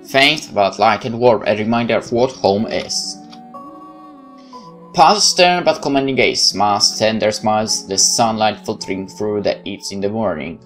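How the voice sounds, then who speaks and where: Gamer doing a let's play, reading out story text, hesitant casual asides, music faintly behind. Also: medium pitch. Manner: hesitant, thinking aloud with asides. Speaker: gaming video host. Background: game music.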